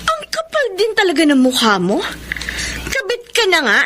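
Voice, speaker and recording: A woman playing a proud, scornful middle-aged wife and mother in a radio drama, haughty and deliberate. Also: very high-pitched, huge pitch swings, loud music behind the voice, heavily acted. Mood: scornful, indignant.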